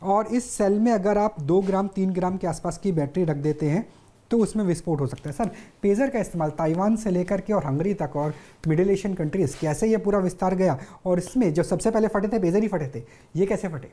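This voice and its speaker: A woman's voice: on the low side, brisk, dark tone. A woman interviewing on the news, explaining and asking questions off the cuff in a wordy, repetitive way. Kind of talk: unscripted on-air questioning and explaining.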